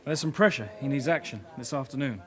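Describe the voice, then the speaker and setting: An actor playing a young, put-upon detective constable, earnest and dutiful, in a British radio crime drama. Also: male voice, medium pitch, quick pace, a bit bright, close to an everyday voice. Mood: earnest, flustered. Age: young adult.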